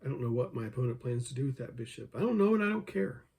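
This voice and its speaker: A man talks through his own chess game with a voice worn hoarse over the last few days, thickly husky and rough with gravel. He mutters his thoughts about each move and jokes with himself. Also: mid-range voice, sing-song, quick pace, darkish tone. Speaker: chess video creator. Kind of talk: think-aloud commentary over an online chess game.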